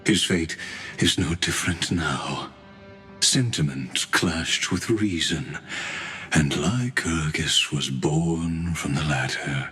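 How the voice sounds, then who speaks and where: An actor playing a calm, detached dying scholar in a video game, speaking solemnly and wearily, with sighs. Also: male voice, extremely low pitch, soft background music, exaggerated voice. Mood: detached, wry. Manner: solemn, weary, deliberate, sighing. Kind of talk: dramatic video game voice acting.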